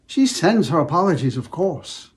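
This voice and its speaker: A woman acts out a brisk male academic in an audio drama of a novel. The delivery is matter-of-fact but extremely varied in pitch, on a slightly low female voice. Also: very animated. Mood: brisk, apologetic. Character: brisk male academic colleague phoning apologies.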